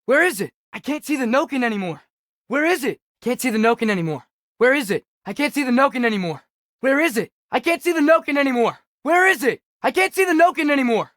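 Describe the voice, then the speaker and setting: A man's voice: extremely high pitch, animated, quick pace, a bit bright, no echo, clean studio sound. A young male actor playing an alert teenage boy warrior in a video game, giving short, urgent combat callouts. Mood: tense, searching.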